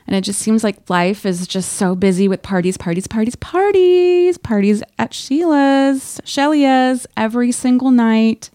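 A woman co-hosting a podcast in casual chat, retelling things in a wry, animated, slangy way. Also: fairly high pitch, a little slow, few pauses, no room sound, clean studio sound. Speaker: podcast co-host. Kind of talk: casual book-discussion chat.

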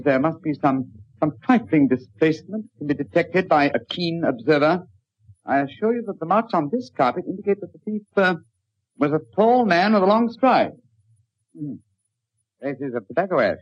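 An actor playing a brilliant, self-assured detective with a British accent, clipped, precise and courteous in a theatrical radio-drama style. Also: male voice, a little high, animated, moderate pace, husky, no echo. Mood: confident, deducing. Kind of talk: 1940s radio drama acting. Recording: dull, dry old radio recording.

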